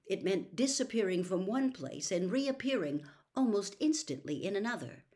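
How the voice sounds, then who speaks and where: A woman reading a storybook aloud as narrator, in an expressive storytelling style. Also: medium pitch, moderate pace. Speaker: audiobook reader.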